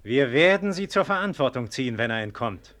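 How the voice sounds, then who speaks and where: Colonial officer, radio drama. Mid-range voice, strict, formal, accusing. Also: male voice, mid-range voice, very animated, fairly quick, a bit bright. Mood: stern, pressing. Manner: formal, clipped, interrogating. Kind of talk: German radio drama acting.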